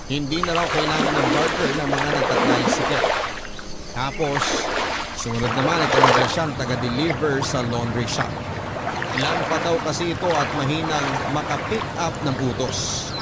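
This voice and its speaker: A man's voice: fairly high pitch, heavy background noise. A man with a Filipino accent reading a listener's letter as a radio host, in dramatic scripted storytelling. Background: loud music bed.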